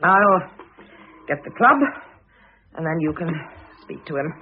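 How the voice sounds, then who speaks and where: An actress playing a shrewd, commanding first wife in an old radio drama, coolly insistent and articulate, on a muffled recording. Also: female voice, low voice, lively intonation, unhurried, slight background noise. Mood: commanding, brisk.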